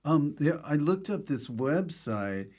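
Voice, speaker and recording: A man's voice: on the high side, sing-song, slow pace, a little mellow. A man hosting a podcast, interviewing casually with a loose, dryly playful manner. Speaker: podcast host.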